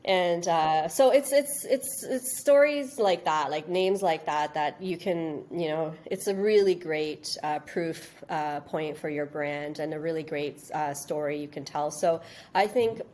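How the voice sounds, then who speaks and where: A woman from Canada, born in India, giving informal, halting interview answers and circling back over her phrases. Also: low voice, darkish tone. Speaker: interview guest, registry business executive.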